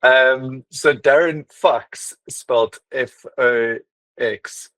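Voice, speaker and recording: Chatty male interviewer, mid-range voice, dry close sound, halting. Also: mid-range voice, fairly even intonation, fairly bright tone, no room sound. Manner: chatty, hesitant, many restarts and fillers. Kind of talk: casual interview talk.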